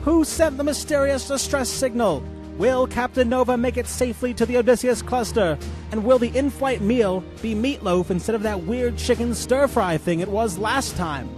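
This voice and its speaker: Male actor, excitable fifties radio announcer, high voice, dramatic narration, kids' space serial. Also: normal speed, music in the background. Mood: suspenseful. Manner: theatrical, dramatic. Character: excitable old-time radio serial announcer, addressing children.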